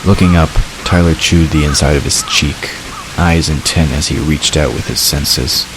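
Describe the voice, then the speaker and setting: A man's voice: extremely low pitch, normal speed. A man narrating a dramatic fantasy story from a script. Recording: clear hiss behind the narration.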